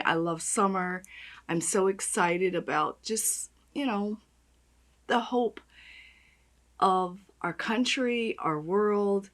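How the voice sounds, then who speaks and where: A woman with a raspy voice, chatting and gushing as she does her makeup on camera. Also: fairly low pitch, a bit bright. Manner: gushing, chatty, talks while applying makeup. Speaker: beauty vlogger. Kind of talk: makeup tutorial chat.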